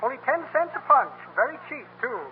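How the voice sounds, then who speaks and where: An actor playing a slippery, grandly polite small-time huckster in 1930s radio comedy. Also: male voice, very high-pitched, expressive, brisk. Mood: wheedling. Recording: tinny, faintly noisy 1939 radio broadcast recording.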